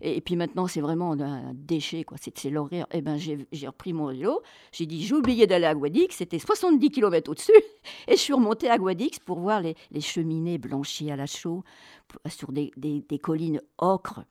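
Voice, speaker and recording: Expressive, lower-voiced woman telling travel stories. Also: moderate pace, dry recording, clean studio sound. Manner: chatty, colloquial, expressive, acts out dialogue. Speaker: interview guest, author. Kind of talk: animated travel storytelling.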